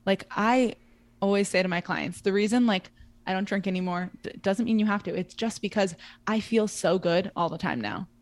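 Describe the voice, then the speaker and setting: A woman's voice: mid-range voice, moderate pace, no echo. A woman in her twenties co-hosting a podcast, talking casually and chattily with lots of fillers. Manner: chatty, filler-heavy, frequent "like". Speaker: podcast co-host. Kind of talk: casual chat.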